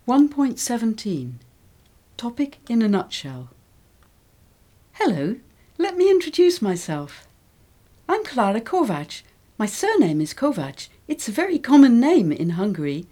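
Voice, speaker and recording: Mellow-voiced woman reading lesson texts. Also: on the high side, very animated, measured pace, pauses often. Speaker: reader of English learning texts. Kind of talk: scripted reading of model texts.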